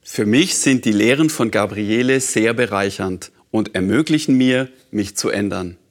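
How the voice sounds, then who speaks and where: A man presenting a program, introducing and reading listener letters in a calm, earnest way. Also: fairly low pitch, moderate pace.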